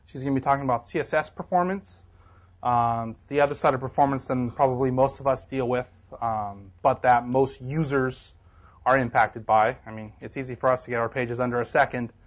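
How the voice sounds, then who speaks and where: A man organizing a tech meetup, casually reading out upcoming events with many fillers. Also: medium pitch. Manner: relaxed, filler-heavy. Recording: dull lo-fi audio.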